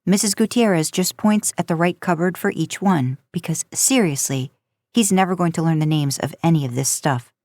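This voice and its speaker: A woman narrates fiction like an audiobook. Her voice sits on the low side, and the sound is dry, without room echo. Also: normal speed, darkish tone. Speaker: podcast host and fan fiction narrator. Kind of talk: audiobook-style story narration.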